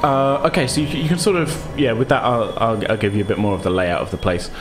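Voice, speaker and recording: A man's voice: mid-range voice, fast talker, music in the background. A man narrating a tabletop roleplaying game as game master, descriptive and conversational.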